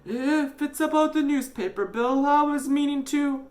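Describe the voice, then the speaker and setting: A storyteller voicing an ailing, bemused and gently stern father in an audiobook. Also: sits high, unhurried, pitched above the performer's own voice.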